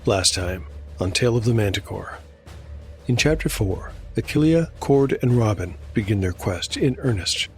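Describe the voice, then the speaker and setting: Grave-voiced man narrating dark fantasy. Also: lowish voice, lively intonation. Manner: measured, grave storytelling. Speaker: host and solo game master. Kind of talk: dramatic fantasy narration with dice commentary. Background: music.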